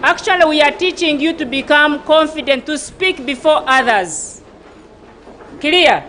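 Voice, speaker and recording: A woman's voice: sits high, animated, a little slow, a bit bright, slight background noise. A woman teacher addressing pupils after a school debate, encouraging them and often asking them to reply. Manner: encouraging, asks pupils for replies. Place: school assembly.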